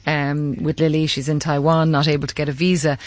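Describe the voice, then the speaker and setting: A woman's voice: low voice, little pitch movement, brisk, no room sound. A woman interviewing an author on the radio, asking conversational questions.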